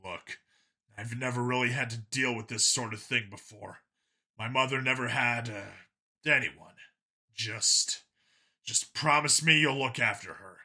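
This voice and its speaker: A man acts out a guarded science fiction cop in an audiobook. He speaks earnestly and hesitantly, pitched down and subdued. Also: measured pace, pushed and bright, breathy edge, heavily acted. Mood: subdued, pleading. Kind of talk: audiobook character dialogue.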